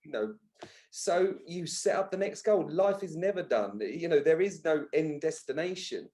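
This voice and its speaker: A man's voice: on the high side, expressive, normal speed, mellow. A man in his thirties talking as a podcast guest in a conversational interview, earnest and emphatic, often repeating words for emphasis. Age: 30s. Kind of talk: conversational personal-development interview.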